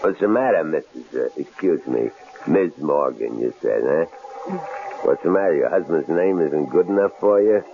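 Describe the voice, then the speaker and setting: An actor playing a gruff, old-fashioned loner in a 1970s radio drama, blunt and sardonic, needling whoever he speaks to. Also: very deep voice, animated, normal speed. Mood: mocking, incredulous. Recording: thin, muffled, noisy old radio broadcast.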